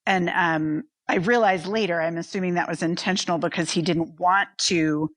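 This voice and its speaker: A woman's voice: lowish voice, steady tone, moderate pace, no room sound. Woman chatting, dry close sound. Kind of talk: casual conversation.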